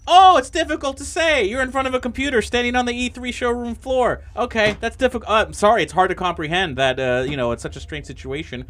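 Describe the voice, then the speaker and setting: A man's voice: very high-pitched, fast talker, hardly any pauses, fairly bright tone, voice pushed up. A man playing an agitated, sarcastic man trapped inside a computer, flustered and stammering in improvised tabletop roleplay. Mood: sarcastic, panicky. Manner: flustered, stammering, repeats words, exclaims.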